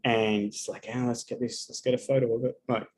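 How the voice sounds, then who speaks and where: A man's voice: medium pitch, level tone, brisk, darkish tone. A man giving a live astrology reading over a video call, talking casually and bluntly and swearing often.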